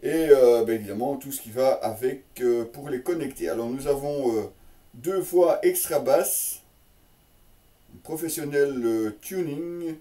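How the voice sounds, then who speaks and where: A man hosting a casual, unscripted product presentation, chatty and tongue-in-cheek, with a halting delivery that repeats words. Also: medium pitch, mellow, recorded from a distance. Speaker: host of a motorcycle gear unboxing channel.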